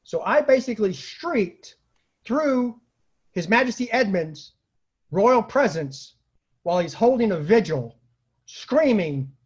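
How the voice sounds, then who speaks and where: A man tells funny personal stories in a loose, conversational style. His voice sits high and swings widely in pitch, with a rough husky grain. He acts out quoted remarks as he goes, and the homemade recording sounds a little dull. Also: slow pace, pauses often. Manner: animated, acts out quoted lines, laughs at own stories. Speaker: storyteller, online show host. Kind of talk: casual comic anecdote telling.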